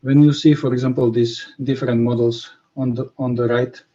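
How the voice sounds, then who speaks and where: A man's voice: mid-range voice, little pitch movement, a little fast, dark tone. Hesitant man presenting research. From Slovakia. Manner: hesitant, many fillers. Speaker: conference presenter. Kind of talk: scientific presentation over video call. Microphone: video call. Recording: muffled video call audio.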